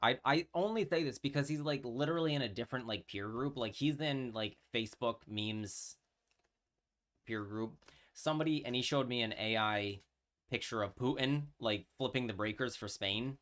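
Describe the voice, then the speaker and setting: A man's voice: mid-range voice, normal speed, fairly bright tone. A man, chatting casually on a live stream and telling a story with many fillers and restarts. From New York.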